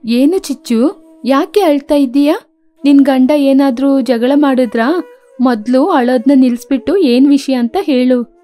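A woman's voice: high voice, a little slow, mellow, faint music behind. A woman voicing an anxious, tender mother sparrow in a children's cartoon, earnest and pleading. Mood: concerned. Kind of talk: children's cartoon story acting.

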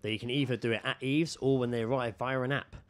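A man's voice: medium pitch, fairly even intonation, fast talker. A British man co-hosting a podcast, casually reading out odd news with jokey, sweary asides and ready laughter. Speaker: podcast co-host.

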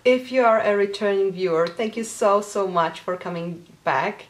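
A woman's voice: mid-range voice, normal speed. A woman in her thirties from Poland, hosting a knitting podcast in casual, chatty talk to camera from a small home craft room. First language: Polish. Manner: chatty, with many fillers and small laughs.

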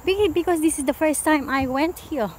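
A middle-aged Filipino woman, a native Tagalog speaker, chats casually and haltingly, musing aloud into a handheld phone as she vlogs a hike. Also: high voice. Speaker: vlogger. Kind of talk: casual hiking vlog chat. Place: outdoors on forest trail and roadside. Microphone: handheld phone at arm's length. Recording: clear outdoor ambient noise with insects.

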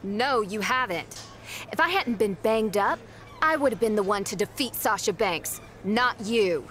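A woman's voice: mid-range voice, animated, unhurried, fairly bright tone, slight background noise. A woman voicing a bitter, jealous female wrestler who speaks in a sneering, resentful way. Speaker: rival wrestler.

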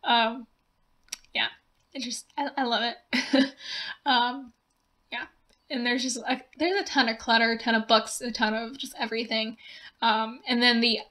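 A young woman chats loosely over gameplay, her voice bright and a touch high. She giggles between rambling thoughts and full of fillers. Her voice is wearing thin and slightly hoarse. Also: little pitch movement, unhurried, pauses often. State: voice going hoarse. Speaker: gaming video creator. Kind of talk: casual voice-over narration of a building game.

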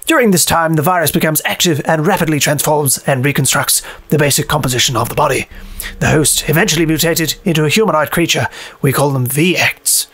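A man's voice: a little high, a bit bright. A man playing a video game, commenting casually and reading notes aloud, often hesitating and repeating himself. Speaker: let's play host and player.

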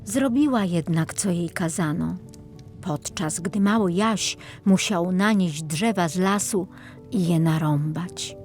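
A woman narrating a children's fairy tale as a storyteller, in a measured, dramatic reading. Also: fairly low pitch, darkish tone, soft background music.

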